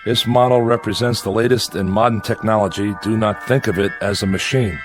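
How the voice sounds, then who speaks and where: An actor in a radio drama playing a sardonic, weary convict living alone in exile on an asteroid. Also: male voice, fairly low pitch, fairly even intonation, brisk, natural voice. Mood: reading aloud. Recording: music behind.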